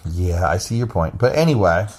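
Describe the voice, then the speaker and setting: A man with a deep, bassy voice hosts a casual podcast and pitches in low. He rambles through his points with restarts and fillers. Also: expressive, moderate pace, darkish tone. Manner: rambling, loose delivery with many restarts and fillers. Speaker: podcast host. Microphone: phone app recording.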